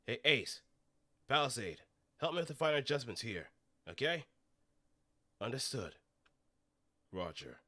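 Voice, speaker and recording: A man voicing a brisk, commanding mecha pilot giving clipped callouts in the style of anime game dialogue. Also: medium pitch, very animated, pushed and bright, voice pushed up, heavily acted.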